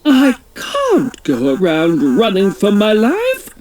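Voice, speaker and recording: A storybook reader voicing a fussy, excitable queen in a high, shrill, dramatic voice. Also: slow pace. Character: excitable bossy queen with a jetpack.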